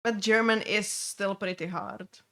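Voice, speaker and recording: A young woman from Czechia with a Czech accent, chatting casually as she streams a game, halting with many fillers and restarts. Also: mid-range voice, fairly even intonation, fairly bright tone. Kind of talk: casual gameplay chat.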